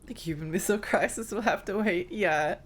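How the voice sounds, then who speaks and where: A woman's voice: mid-range voice, brisk. Giggly woman streamer with a strained voice. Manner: chatty, giggly, rambling. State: strained, tiring voice. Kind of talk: live game stream chat.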